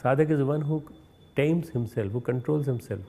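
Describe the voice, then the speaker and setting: A man with an Indian accent giving a recorded spiritual message, earnest and instructive, often pausing and restarting words. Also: medium pitch, warm tone. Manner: hesitant, repeats and restarts words. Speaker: spiritual leader.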